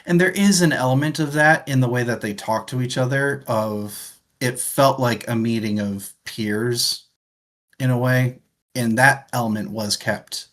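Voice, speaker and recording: A man's voice: medium pitch, a little slow, fairly bright tone. A man co-hosting a book club podcast, talking casually and conversationally as he sums up his thoughts.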